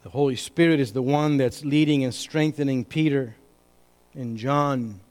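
A pastor preaching a sermon, emphatic, moving between loud bursts and hushed asides. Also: male voice, mid-range voice, moderate pace. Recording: reverberant hall.

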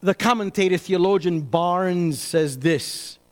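A pastor preaching a sermon, emphatic, moving between loud bursts and hushed asides. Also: male voice, high voice, takes it slowly. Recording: reverberant hall.

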